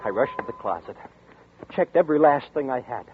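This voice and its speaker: A man's voice: mid-range voice, animated, a little fast, slight background noise, natural voice. Vince, a man playing a haunted, brooding young man. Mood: uneasy. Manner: tense, brooding first-person narration. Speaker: narrator and lead character. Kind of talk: 1940s radio acting. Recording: tinny old radio broadcast with music.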